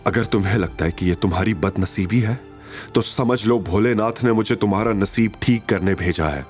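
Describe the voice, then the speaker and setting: A man's voice: fairly low pitch, normal speed, soft background music, close to an everyday voice. A man playing a fearless, protective hero, defiant and commanding, in an audio drama. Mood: calm assurance. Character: fearless, brooding male hero defying villains, protective. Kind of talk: Hindi audio drama acting.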